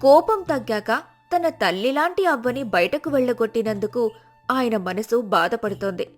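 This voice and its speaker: A woman storyteller with a fairly high voice reads a scripted moral tale. Music plays behind her narration. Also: lively intonation, normal speed.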